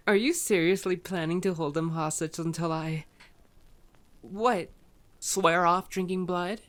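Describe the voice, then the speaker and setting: A woman playing a sarcastic, teasing female vampire in an ASMR roleplay audio drama, playful and exasperated. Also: lowish voice.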